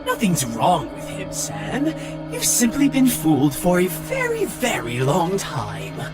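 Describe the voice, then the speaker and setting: A man's voice: on the high side, wildly swooping pitch, a little slow, voice pushed up. A man voicing a smug, secretive artificial intelligence guide in a video game, theatrical and teasing. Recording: loud background music.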